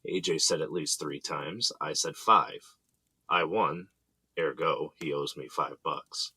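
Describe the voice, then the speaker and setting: A man's voice: a bass voice, slow pace, bright, forceful tone, slightly rough, dry recording, exaggerated voice. A man playing an easygoing, affectionate husband and father, playful and coaxing, in a naturalistic audio drama. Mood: teasing.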